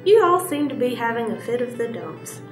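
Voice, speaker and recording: A woman's voice: on the high side, very animated, normal speed, echoey room, far from the mic, soft background music. A woman voicing a jolly, good-natured old male duck in a bedtime story, hearty and polite. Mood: teasing.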